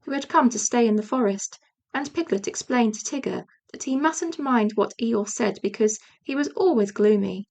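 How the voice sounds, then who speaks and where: A woman's voice: on the high side, normal speed, gravelly, darkish tone. A woman narrating a children's audiobook as a scripted storyteller.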